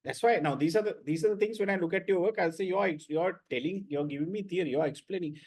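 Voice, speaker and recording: A man's voice: sits high, quick pace, a little mellow. A man lecturing students in an online class, blunt and repetitive, often checking with "right?".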